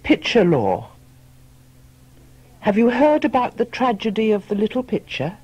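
High pitched guy telling a fable, mellow, kinda husky. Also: huge pitch swings, unhurried. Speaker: storyteller. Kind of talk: scripted audiobook storytelling.

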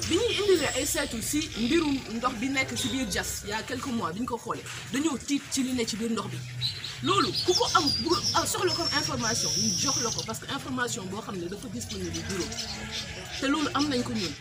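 A middle-aged Senegalese woman, a water company manager, speaks animatedly to a community meeting outdoors, mixing Wolof and French and defending her company's work. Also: a little high. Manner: animated, switching between Wolof and French, gesturing with papers. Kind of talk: public address at a community meeting. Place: outdoors under a tree before a crowd. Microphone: far camera, no mic. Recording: loud outdoor noise, distant camera.

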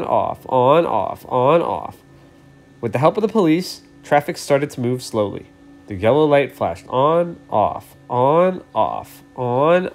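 Man reading a children's storybook, lively pitch. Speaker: read-aloud storyteller.

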